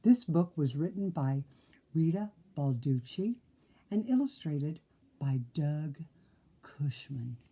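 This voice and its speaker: A woman reading a children's story aloud in an expressive narrating style. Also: sits low, takes it slowly, slightly rough. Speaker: storybook reader.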